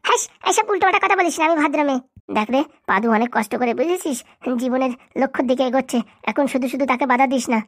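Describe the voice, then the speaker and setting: A woman's voice: sits high, expressive, racing. A woman voicing a young school pupil in a comic cartoon, chattering. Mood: bantering.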